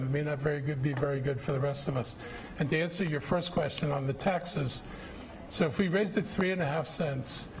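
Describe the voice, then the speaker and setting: A man's voice: a little high, a little hiss or hum, poor audio. A middle-aged man at a public budget forum, answering questions in a blunt, combative, plain-spoken way. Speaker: county executive answering questions.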